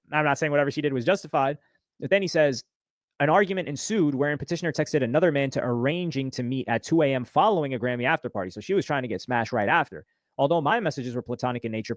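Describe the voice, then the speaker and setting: A man's voice: mid-range voice, no echo. A man reading a court document aloud and adding casual comments as he goes. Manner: brisk, reads documents quickly then comments casually. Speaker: streamer reacting to court filing.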